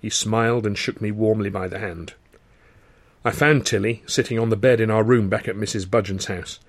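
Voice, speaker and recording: A man reading a first-person audiobook in a wry, expressive storytelling manner. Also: lowish voice, moderate pace, dry recording. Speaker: author reading own novel.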